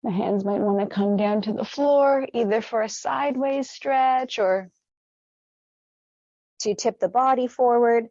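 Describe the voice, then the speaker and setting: Female meditation guide. Soothing, unhurried delivery, medium pitch, close dry sound, a touch muffled. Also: no echo. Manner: gentle, unhurried, soothing instruction. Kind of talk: guided meditation with gentle stretches.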